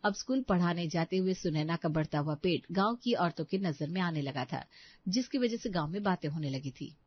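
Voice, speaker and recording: A woman's voice: on the low side, animated, brisk, muffled recording. A woman storyteller giving a scripted, dramatic narration of a family tale.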